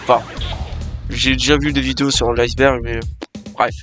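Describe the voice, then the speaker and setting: A man's voice: medium pitch, level tone, moderate pace. A young man giving casual let's-play commentary, rambling and correcting himself as he chats to viewers. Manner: rambling, self-correcting, chatty. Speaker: gaming video creator. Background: game music.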